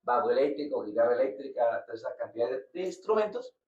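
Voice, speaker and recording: A man from Colombia, a musician being interviewed, talking casually and haltingly, often repeating words as he searches for them. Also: mid-range voice, lively intonation, darkish tone. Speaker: guest musician. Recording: roomy, distant, dull lo-fi sound.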